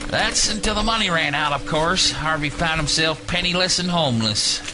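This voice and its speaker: Man acting a gruff older Southern guard, menacing, folksy drawl, audio drama, music underneath. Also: on the high side, normal speed, pitched above the performer's own voice, close to an everyday voice, poor audio. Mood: folksy, reminiscing. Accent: Southern US, Louisiana. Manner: folksy drawl when reminiscing, barking orders when angry.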